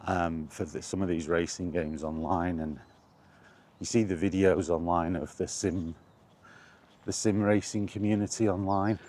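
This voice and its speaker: A man's voice: low voice, steady tone, unhurried, darkish tone. A British man chatting casually in a wry, colloquial way, like a solo vlogger rambling through his thoughts. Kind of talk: casual rambling chat about gaming.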